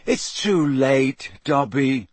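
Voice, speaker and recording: A man's voice: a little high, big pitch swings, unhurried, slightly rough, dry recording, voice pushed up, heavily acted. A man voicing a panicky, disbelieving teenage boy wizard in a storybook reading, breathless and full of questions. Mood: hopeless.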